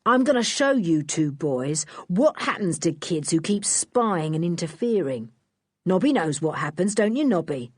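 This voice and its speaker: A gruff, menacing man who bullies and orders children about, as a woman reading aloud puts him on. His voice is close and dry, with a lively rise and fall. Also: on the low side, a little slow, no room sound. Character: gruff male kidnapper threatening children.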